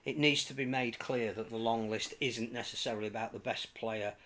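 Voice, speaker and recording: A man giving informed, measured opinions as a rugby league pundit on a panel. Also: mid-range voice, steady tone, a bit bright. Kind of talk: casual panel chat.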